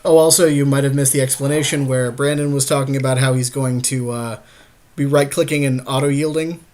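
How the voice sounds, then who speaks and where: A man with a medium-pitched voice co-commentates on a card game. He speaks conversationally, adding slangy asides and offhand strategy ideas. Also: fairly even intonation, brisk, a little mellow. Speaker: podcast co-host. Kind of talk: casual chat, gameplay commentary.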